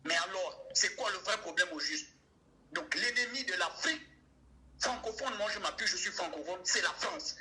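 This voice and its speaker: A young man calls in to a debate show. His voice sits high and sounds bright and forceful, and he drives his points home with rhetorical questions. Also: expressive, normal speed, poor audio. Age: young adult. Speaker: caller. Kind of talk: call-in debate.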